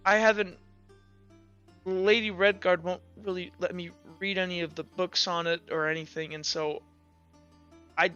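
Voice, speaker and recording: A man's voice: sits high, flat delivery, normal speed, long pauses, pushed and bright, clean tone. A man voicing a shy, guarded teenage boy in a tabletop game, earnest and hesitant, stumbling over his words. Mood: hesitant, confiding. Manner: hesitant, stammering, sheepish. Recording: dull audio with music.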